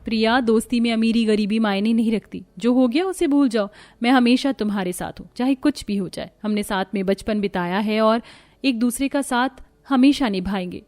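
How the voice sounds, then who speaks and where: A woman's voice: on the high side, moderate pace, no room sound, lightly acted. A woman voicing a humble, patient young wife and loyal friend in a moral cartoon story. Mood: forgiving, reassuring. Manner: gentle, contented, earnest.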